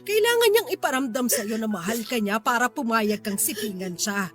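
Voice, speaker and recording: A woman's voice: on the high side, animated, normal speed, fairly bright tone. A woman playing a stern but caring Filipino mother in an emotional, emphatic radio drama style. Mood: sorrowful, blunt. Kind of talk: Tagalog radio drama acting. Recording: music under the voice.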